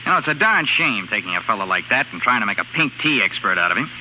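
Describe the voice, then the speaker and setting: An actor playing a cynical, wisecracking bachelor pal in a 1930s radio drama. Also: male voice, fairly low pitch, big pitch swings, very fast, a little hiss or hum. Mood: scornful. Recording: muffled old radio broadcast, faint music.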